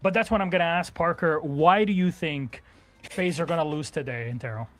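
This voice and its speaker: A man's voice: fairly high pitch, fairly quick, dry recording. A man hosting a live esports broadcast, teasing the casters with playful questions. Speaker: esports desk host.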